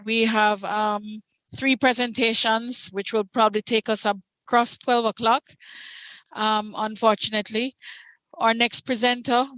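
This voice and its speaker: A woman moderating a virtual meeting over a video call. She speaks slowly and politely in a somewhat high voice, hesitating with "um". The sound is dry and close. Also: fairly bright tone, no room sound, poor audio. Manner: unhurried, polite, hesitant with ums. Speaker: session moderator.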